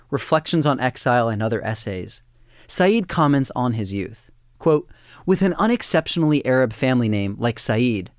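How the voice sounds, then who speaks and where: A man narrating a documentary script in a steady, even reading. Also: mid-range voice, no echo, studio quality.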